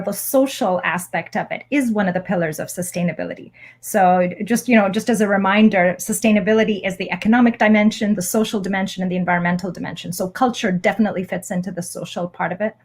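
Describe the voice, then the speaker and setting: A woman's voice: mid-range voice, steady tone, fast. Female academic guest from Egypt, mid-range voice, articulate and explanatory. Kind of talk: interview answers.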